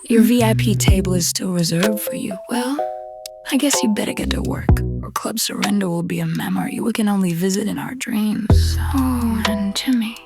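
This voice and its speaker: Woman acting, proud female nightclub boss, sassy, slangy, commanding, noir radio play, loud music behind. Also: medium pitch, big pitch swings, moderate pace. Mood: teasing, knowing. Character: Lucy, proud, irritated female nightclub owner.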